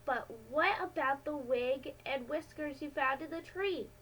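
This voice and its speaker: A woman's voice: high voice, a little slow, fairly bright tone, slight background noise, voice pushed up, rough recording. A woman voicing a cautious, unsure boy sidekick in a storybook adventure, questioning and hesitant. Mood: uncertain.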